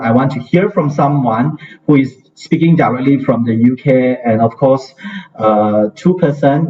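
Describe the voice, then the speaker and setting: A man hosting a casual live chat over a video call, talking hesitantly with many fillers and restarts. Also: medium pitch. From Malaysia. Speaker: host. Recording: distant, dull-sounding mic with faint hiss.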